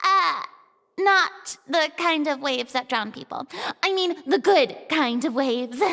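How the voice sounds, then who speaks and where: A woman's voice: high-pitched, expressive, takes it slowly, a bit bright, in a large hall, exaggerated voice. A woman playing a theatrical, self-assured young female film director in a video game. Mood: flustered. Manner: theatrical, commanding.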